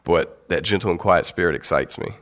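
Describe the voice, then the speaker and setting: A young man with a cold giving a casual, halting Bible teaching to his church, with fillers and light laughs. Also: low-pitched, a bit bright. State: has a cold.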